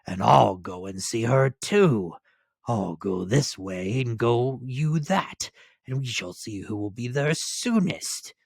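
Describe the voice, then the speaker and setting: A man voicing a cunning, wicked wolf in a fairy tale, with a big rough voice and slow, coaxing, menacing delivery. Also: medium pitch, expressive, no echo, studio quality, heavily acted. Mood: sly.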